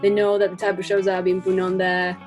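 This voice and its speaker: A woman's voice: lowish voice, completely flat delivery, quick pace, a little mellow, faint music behind. A woman giving a casual interview as a musician, thinking aloud in a rambling way.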